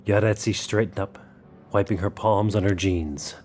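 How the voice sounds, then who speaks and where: A man's voice: fairly low pitch, steady tone, normal speed, a little mellow. A man narrating a scripted dramatic story as its narrator. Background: music under the voice.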